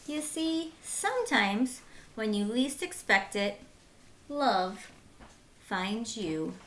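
A woman in her thirties reading a picture book aloud as a teacher, with simple, deliberate phrasing for young children and short asides. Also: fairly high pitch, big pitch swings, a bit bright, a little hiss or hum. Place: small room at home, indoors.

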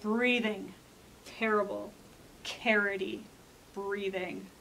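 An American woman in her thirties presenting and reading as a children's librarian, expressive and clearly enunciated, with dramatic pauses. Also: medium pitch, a little hiss or hum, low-quality recording. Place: indoor library room.

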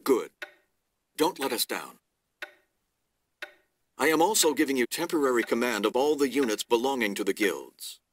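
A man playing a stern, calculating middle-aged lord in a video game, measured and authoritative. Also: medium pitch, animated. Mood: cold command. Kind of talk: video game cutscene acting.

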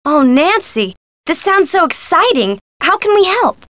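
A woman voicing a bubbly, supportive young friend of a teen sleuth in a video game, chirpy and excitable. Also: very high-pitched, a little slow, no room sound, exaggerated voice. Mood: excited, supportive. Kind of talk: video game character dialogue.

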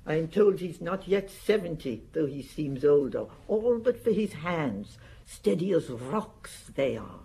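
An actress playing a chatty, gossipy woman concierge in a radio drama, with a husky, unhurried voice. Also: low-pitched, big pitch swings, dark tone, heavily acted. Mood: confiding. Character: gossipy older woman concierge of apartment building.

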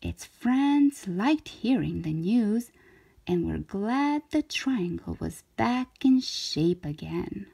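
A woman reading a children's story aloud in an expressive narrator's style, with deliberate, dramatic phrasing. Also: medium pitch, vocal fry, husky.

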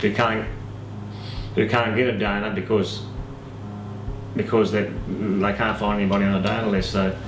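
An older man talking casually in an interview, halting and often repeating his words. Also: fairly low pitch, level tone, echoey room, background noise. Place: indoor room, plain wall.

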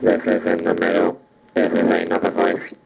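A man's voice: sits low, completely flat delivery, normal speed, gravelly, darkish tone, slight background noise, poor audio. A man voicing a menacing robot through a buzzy, metallic ring modulator effect, played from a small speaker. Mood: flat, mechanical. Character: menacing robot, Dalek or Cyberman style. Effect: ring modulator robot filter.

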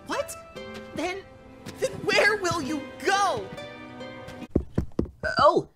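A woman voicing an expressive, chatty young animal character in an amateur cartoon dub. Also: very high voice, extremely slow, over music, full character voice. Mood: worried. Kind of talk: amateur animated fandub acting.

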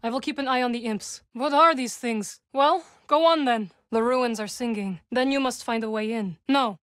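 An actress voicing a composed, dry-witted young witch warrior in a video game, speaking in measured, formal phrases. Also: a little high, fairly bright tone, no room sound, close to an everyday voice. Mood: calm, resolute. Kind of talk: video game character dialogue.

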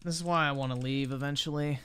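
Man, smooth clear voice, casual streamer commentary, blunt, profane, slangy. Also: medium pitch, fairly even intonation, fast talker, fairly bright tone. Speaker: livestreamer reacting to videos.

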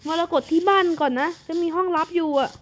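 High-voiced playful cartoon narrator woman. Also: fast, lo-fi sound, noisy background. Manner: lively, switches between characters' lines.

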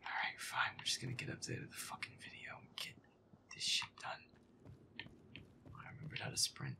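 A man giving irritable, foul-mouthed gameplay commentary in a breathy, often whispered mutter. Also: fairly quick. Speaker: gamer playing a horror game in character.

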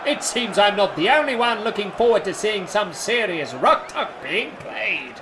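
An actor playing an excitable, bombastic sports commentator at a medieval match in a comic audio drama. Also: male voice, high-pitched, normal speed. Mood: excited. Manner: shouting, bombastic. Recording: crowd noise behind the voice.

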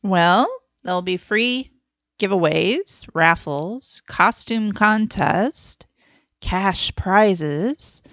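A woman co-hosting a podcast, chatting casually in a laconic, low-key way. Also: lively intonation, extremely slow, fairly bright tone, dry recording, dull audio. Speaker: podcast co-host. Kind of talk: casual chat with brief replies.

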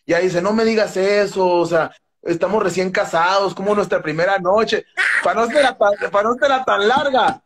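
A man's voice: high-pitched, normal speed, fairly bright tone. A man, rambling through a story on a phone video call, stumbling and restarting and swearing freely. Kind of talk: casual chat on a live video call. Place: indoors, sitting on a couch.

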